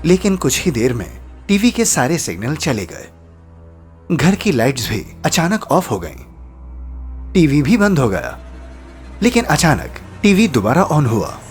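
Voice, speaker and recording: Lively male horror-story narrator. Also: a little high. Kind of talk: scripted suspenseful narration. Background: background music.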